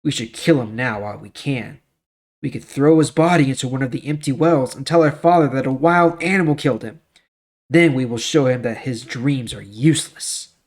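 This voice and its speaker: A man's voice: medium pitch, normal speed, natural voice. A man voicing scheming, jealous brothers in a Bible story, cold and scornful. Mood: scornful plotting.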